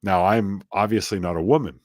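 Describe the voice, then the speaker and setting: A man's voice: deep voice, fairly quick, dry recording, studio quality. A middle-aged man as an interview guest, chatting casually and rambling off onto tangents with self-deprecating asides.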